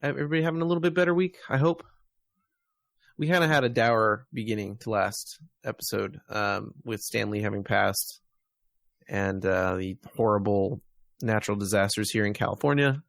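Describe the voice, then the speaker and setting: A man's voice: on the low side, moderate pace, creaky, a bit bright, dry recording. An American man hosting a podcast, chatting casually about games and media. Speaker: podcast host.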